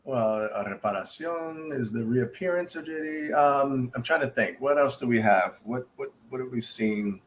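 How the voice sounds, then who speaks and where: A man's voice: mid-range voice, moderate pace, few pauses, a little hiss or hum. A man appearing as a guest on a casual sports podcast, answering in a dry, teasing way and laughing easily. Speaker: podcast guest, former ballplayer.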